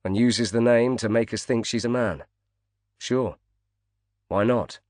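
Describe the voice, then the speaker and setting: A man's voice: mid-range voice, measured pace, a little mellow, no room sound, studio quality, close to an everyday voice. A man voicing a pushy female tabloid reporter who talks in a challenging, pressing way. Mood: combative.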